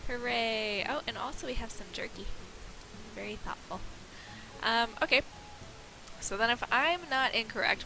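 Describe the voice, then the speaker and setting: A woman's voice: medium pitch, sharp tone, slight background noise, poor audio. A woman with a nasal voice and a slight cold, rambling through casual, self-deprecating gameplay commentary. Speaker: let's play host.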